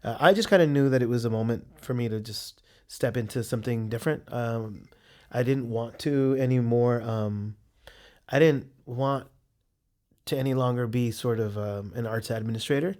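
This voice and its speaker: A man's voice: mid-range voice, moderate pace, mellow. Earnest man, artist talking about his work. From San Francisco's Mission District. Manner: earnest, hesitant, many fillers and restarts. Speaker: artist, interview guest. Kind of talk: reflective interview answer.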